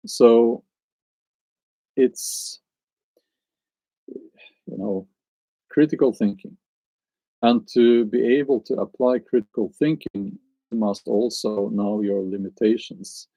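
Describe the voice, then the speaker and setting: A man's voice: lowish voice, fairly even intonation, takes it slowly, long pauses, mellow, dry recording, poor audio. A man with a Norwegian accent, chatting on a live stream and answering his viewers, hesitant and musing as he searches for words. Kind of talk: casual live stream chat.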